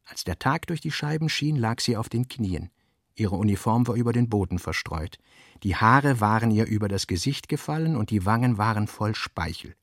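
A male actor as the grave narrator of a philosophical novel in a radio play, reading in a measured, solemn literary manner. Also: lowish voice, animated, dry recording, studio quality. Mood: grave, reflective.

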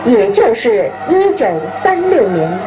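A woman with a high, sweeping voice delivers a solemn radio commemoration. Her reading is formal and declamatory, and music plays behind her in an archive broadcast. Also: very animated, measured pace. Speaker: radio announcer. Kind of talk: solemn scripted commemorative narration.

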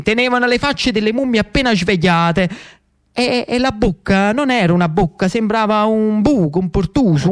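A man with a Neapolitan accent narrating a theatrical monologue as an actor-storyteller, dramatic and ironic, slipping into dialect. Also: sits high.

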